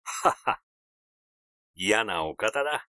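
A flippant young gossip, as a male reader plays him, teasing and sardonic in storybook dialogue. His pitch swings about freely, and the sound is dry. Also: sing-song, drawn-out words, sharp tone, no room sound, full character voice. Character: flippant, gossiping young man, mocking and glib. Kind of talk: acted dialogue in an audiobook.

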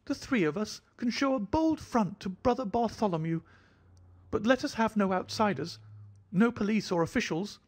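A man voicing a jittery, fussy little man of about thirty, an anxious hypochondriac with a thin, high voice. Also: expressive, normal speed. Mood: fretful. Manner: fidgety, effusive, fretful.

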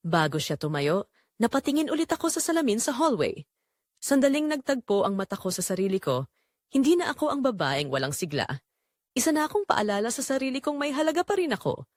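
A woman's voice: on the high side, very animated, brisk, no echo, clean studio sound. A woman with a Filipino accent playing a tender, long-neglected middle-aged wife and mother, narrating her own romantic audio drama in an introspective, confessional way. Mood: reflective, moved.

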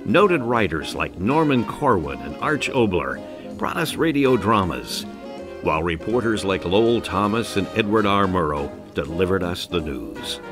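Deep-voiced documentary narrator over music. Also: male voice. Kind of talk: scripted narration. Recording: music bed under voice.

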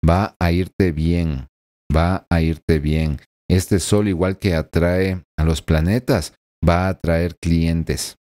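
A man in his forties reads tarot into a desk microphone in a small room at home. His voice sits low and sounds soft and mellow. He speaks earnestly and keeps checking in with the listener. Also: fairly even intonation, takes it slowly. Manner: earnest, addresses the listener directly with frequent tag questions. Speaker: tarot reader. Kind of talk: weekly horoscope tarot reading. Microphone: desk microphone in front of him.

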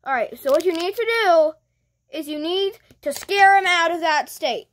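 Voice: extremely high pitch, normal speed, a bit bright. A child voicing a cheeky little creature who works as cameraman on a cartoon show. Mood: scheming, pleased. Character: cheeky Grookey cameraman of a cartoon interview show, eager for drama.

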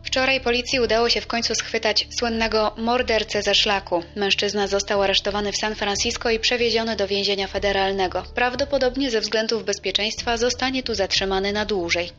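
A woman plays a formal female TV news reporter in an audio documentary. Her mid-pitched voice sounds dull and lo-fi, with faint music behind it. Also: steady tone, a little fast, few pauses, fairly bright tone, natural voice. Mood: businesslike. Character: female television news reporter announcing an arrest.

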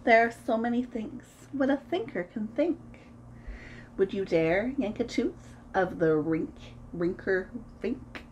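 A woman in her thirties reading a picture book aloud to preschoolers in an expressive, sing-song way, as host of a story time. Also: medium pitch, slow pace, dull audio, slight background noise. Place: small room at home, indoors.

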